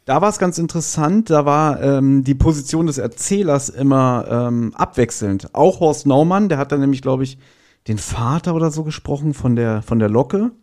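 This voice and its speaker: A man's voice: mid-range voice, normal speed, darkish tone. A man chatting casually as a podcast guest, reviewing an audio drama.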